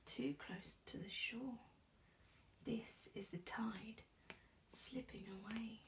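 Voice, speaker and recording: A young woman with a fairly high voice reads a children's rhyming story aloud. She takes her time, placing each phrase with expression. She is recorded a short way from the camera microphone. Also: a little mellow, distant mic, a little hiss or hum, poor audio. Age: young adult. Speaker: storybook reader. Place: small indoor room. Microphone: camera a short distance away.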